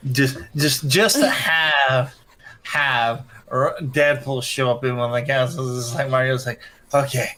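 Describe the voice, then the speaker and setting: A man's voice: mid-range voice, steady tone, a little slow, sharp tone. A man chatting casually on a stream in a rambling, jokey way. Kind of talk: casual chat.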